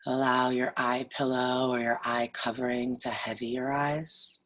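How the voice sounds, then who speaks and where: A woman leading a guided yoga nidra meditation in a soothing, deliberate way, leaving long pauses between phrases. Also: extremely low pitch, flat delivery, fairly bright tone, low-quality recording.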